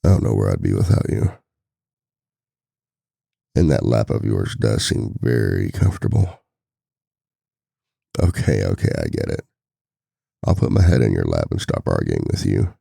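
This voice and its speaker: A man playing a devoted, worried single-dad boyfriend in an intimate ASMR roleplay, confiding softly with a gravelly voice. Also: sing-song, normal speed, long pauses, dry recording, clean studio sound, exaggerated voice. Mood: affectionate, soothed. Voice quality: very deep, gravelly.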